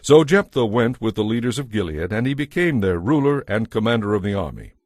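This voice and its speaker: A man narrating a dramatized scripture audiobook from a script, in a measured, formal manner. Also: lowish voice, very animated, darkish tone, no echo, studio quality.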